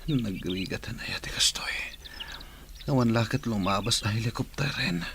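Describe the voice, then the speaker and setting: A man playing an injured, weary village captain with a strained gravelly voice in a radio drama. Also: fairly low pitch, normal speed, background noise, close to an everyday voice. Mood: weary suffering.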